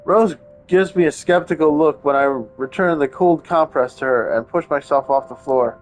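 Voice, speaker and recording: Higher-pitched man streaming a game, wry. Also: normal speed. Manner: wry, sarcastic asides. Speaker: game streamer playing a visual novel. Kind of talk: casual let's-play commentary with narration read aloud. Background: game background music.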